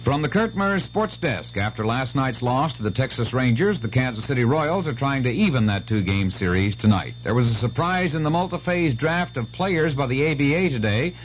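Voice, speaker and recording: A man's voice: medium pitch, animated, moderate pace, few pauses. Male radio sportscaster, dull vintage sound. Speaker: radio sports and weather announcer. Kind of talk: scripted news bulletin reading. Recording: dull old radio broadcast, faint hum.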